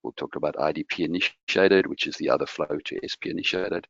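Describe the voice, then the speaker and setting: Deep gravelly man giving a tech talk. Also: fairly even intonation, brisk, a bit bright, no echo. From Malawi. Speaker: guest speaker. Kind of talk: technical presentation. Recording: dull lo-fi audio.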